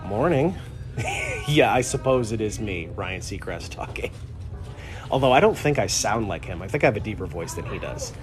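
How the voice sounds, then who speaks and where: A lower-pitched man talks loosely and unhurriedly, filling his sentences with ums and uhs as he explains things on a live stream. He is inside a pharmacy store, with music clearly playing in the background. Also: moderate pace. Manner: relaxed, rambling with many fillers. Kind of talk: casual live-stream how-to talk.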